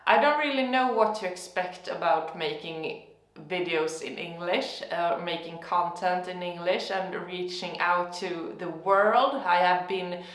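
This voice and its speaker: A young woman with a Swedish accent, Swedish her first language, hosting a gardening channel in relaxed chat, thoughtful and hesitant with many fillers. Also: on the low side, measured pace. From Sweden. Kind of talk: casual talk to camera. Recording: echoey room.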